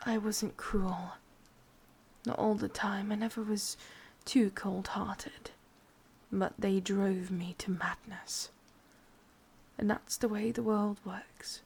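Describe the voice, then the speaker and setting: A woman with an English accent playing a sadistic, brooding witch in a breathy, mostly whispered ASMR roleplay. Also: mid-range voice, fairly even intonation. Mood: wounded, bitter. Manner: slow, hushed, deliberate. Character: sadistic, brooding female witch, bitter and obsessive.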